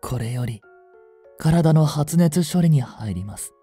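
A man playing a polite, devoted android servant overcome by feverish love in a Japanese romantic audio drama, speaking formally in breathy, halting phrases. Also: on the high side, lively intonation, warm tone, soft background music, full character voice. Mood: devoted, teasing.

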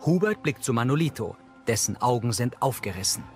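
A man's voice: medium pitch, lively intonation, fairly quick, soft background music. A man reading scripted audio description in a sober, matter-of-fact way. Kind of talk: scripted audio description narration, present tense.

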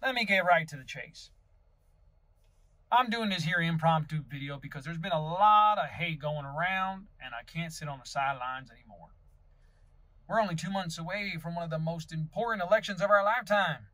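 Man acting a Southern US political candidate, high male voice, folksy drawl, pompous, vain, aggrieved, mock campaign video, dry close recording. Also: moderate pace, bright, forceful tone, no echo. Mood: folksy, earnest. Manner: theatrical drawl, pompous, dropping into breathy seductive asides. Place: inside a parked car.

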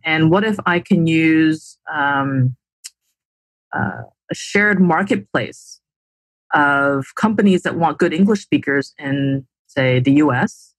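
Tech-founder guest type: woman, New Jersey accent, husky low voice, dry video-call sound. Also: takes it slowly, no echo. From New Jersey, USA. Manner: confident, explains with many tag questions. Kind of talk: casual interview chat. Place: indoor room at home.

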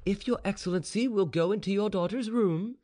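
A man voicing a sly, obsequious serf informer who is quietly confident, deferential and insistent, in a storybook tale. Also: sits high, a little fast, voice pushed up. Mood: confiding.

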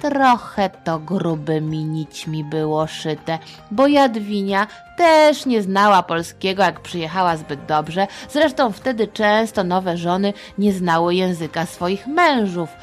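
A woman hosting a children's history podcast, telling the story in a playful, chatty, expressive manner. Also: lowish voice, slow, faint music behind.

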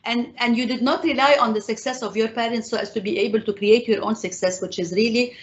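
A woman's voice: fairly high pitch, fairly even intonation, fast. A middle-aged woman hosting an interview, praising her guest and repeating herself as she searches for words. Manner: warm praise, lots of repeated words and restarts. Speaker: interviewer, host. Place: indoors, room with framed painting. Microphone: lapel mic. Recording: muffled video call audio.

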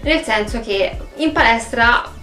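A woman talks in a fairly high voice, chatty and expressive. She sounds like a vlogger addressing the camera casually from home. The room rings noticeably around her, and some music plays behind. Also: slow pace. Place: small room at home, indoors. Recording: roomy, echoey home recording.

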